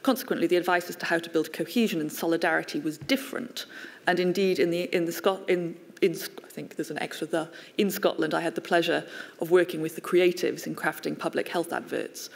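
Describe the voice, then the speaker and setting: An inquiry lawyer questioning a witness at a formal hearing, speaking in a measured, polite, slightly halting way. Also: medium pitch, gravelly, big-room sound. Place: public inquiry hearing room.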